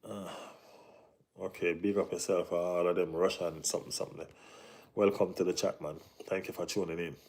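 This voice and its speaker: A man's voice: deep voice, steady tone, fairly quick, a little mellow. A Jamaican man hosting a casual football live stream, reading and answering chat, often saying "you know what I mean".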